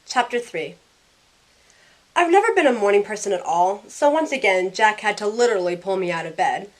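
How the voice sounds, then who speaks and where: A woman's voice: mid-range voice, lively intonation, moderate pace, close to an everyday voice, poor audio. A woman playing a sarcastic, self-questioning young woman who narrates her own story in an audio drama. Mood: bright, playful. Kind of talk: first-person audio drama narration and dialogue.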